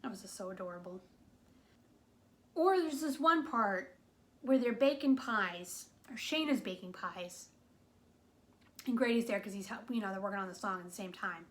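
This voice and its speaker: A woman's voice: mid-range voice, animated, normal speed, pauses often, fairly bright tone. A woman from New England giving a casual, wry movie review, full of "like" and "um.". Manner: chatty, wry, with frequent "like" and "um".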